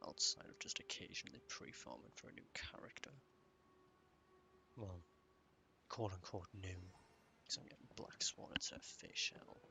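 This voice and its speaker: A man's voice: low-pitched, big pitch swings, normal speed, vocal fry, long pauses, sharp tone, slight background noise. A man giving casual, rambling video game commentary, half muttering to himself as he plays. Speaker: gaming streamer. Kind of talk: casual solo gameplay commentary.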